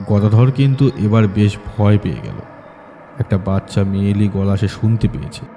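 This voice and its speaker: A man's voice: lowish voice, normal speed, mellow, faint music behind. A man reading a scripted horror audiobook as its story narrator.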